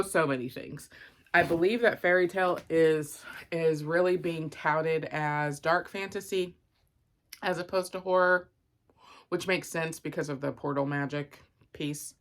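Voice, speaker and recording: A woman giving an informal, rambling book review and unboxing, thinking aloud with frequent asides. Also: low-pitched.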